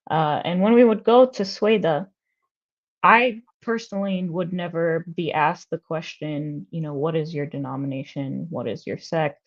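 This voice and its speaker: A woman being interviewed, speaking in a reflective, steady way with frequent fillers. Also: deep voice. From United States. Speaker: interview guest, researcher and activist. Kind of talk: personal conversational interview. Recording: slightly dull lo-fi sound.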